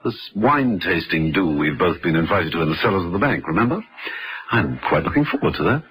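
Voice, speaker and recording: Radio play: witty, urbane British connoisseur, fairly low voice, very lively intonation. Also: male voice, poor audio. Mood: musing. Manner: urbane, teasing, drawling pauses. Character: suave, witty gentleman adventurer and wine connoisseur. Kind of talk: vintage radio drama acting.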